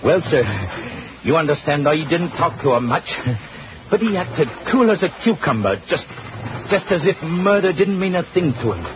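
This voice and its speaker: An actor playing a deferential, chatty police sergeant who hesitates and repeats himself, in 1940s radio drama style. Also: male voice, on the high side, sing-song, moderate pace. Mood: earnest, gossipy. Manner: hesitant, folksy, stammering repeats. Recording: muffled, noisy 1940s radio recording.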